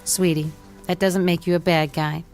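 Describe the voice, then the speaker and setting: An actress playing a gentle, supportive mother in an audio drama, reassuring and lightly teasing. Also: fairly low pitch, flat delivery, moderate pace, music in the background, lightly acted.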